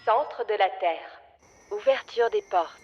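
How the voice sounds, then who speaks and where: Woman doing a calm cartoon elevator announcement, really slow, big reverb. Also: medium pitch, lo-fi sound, lightly acted. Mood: impassive. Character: calm automated lift announcer. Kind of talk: formal announcement in a children's cartoon. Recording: long reverb, loud background music.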